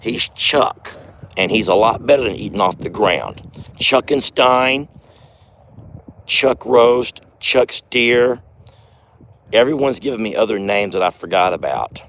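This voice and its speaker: Hoarse man, folksy farm vlog. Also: fairly low pitch, expressive, unhurried, long pauses, a little hiss or hum. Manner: folksy, chatty, talks softly to his bull. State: hoarse, lost his voice. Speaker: farm vlogger, channel host. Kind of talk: casual outdoor vlog. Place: outdoors on a farm by a bull pen. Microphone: handheld phone camera.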